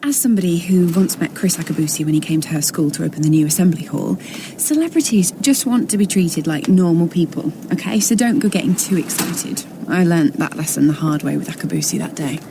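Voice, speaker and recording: A woman's voice: lowish voice, animated, fairly quick, mellow, slight background noise. An actress playing an earnest, knowing woman in a radio sitcom, given to matter-of-fact advice. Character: earnest, knowing, starstruck female museum worker.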